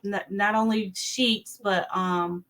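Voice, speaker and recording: A mature woman speaking as an interview guest in casual chat, halting and repeating words as she explains. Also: medium pitch, fairly even intonation, a bit bright. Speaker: interview guest, children's author.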